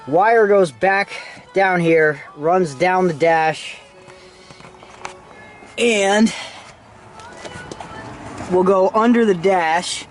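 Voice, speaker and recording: A man's voice: sits high, very slow, pauses often, soft background music. A man giving a casual, informal hands-on how-to narration as a DIY car vlogger while he works inside a vehicle. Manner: informal, talking while working with his hands. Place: inside a Jeep, handheld camera.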